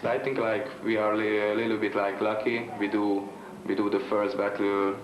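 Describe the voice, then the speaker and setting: A man from Hungary speaks in halting, broken English, since Hungarian is his first language. His voice sits a little low. The room is echoey, with loud music playing behind him. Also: little pitch movement. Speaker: b-boy crew member. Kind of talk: casual interview.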